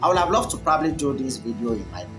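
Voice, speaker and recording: A man's voice: mid-range voice, big pitch swings, quick pace, rough recording. Rambling man lecturing over music. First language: Yoruba. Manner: preachy, rambling, with frequent fillers and restarts. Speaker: commentator. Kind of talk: unscripted monologue to camera.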